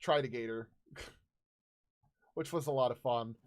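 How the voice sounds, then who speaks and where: A man in his thirties casually recounting tabletop game stories as a host, halting and searching for words. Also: medium pitch. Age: 30s.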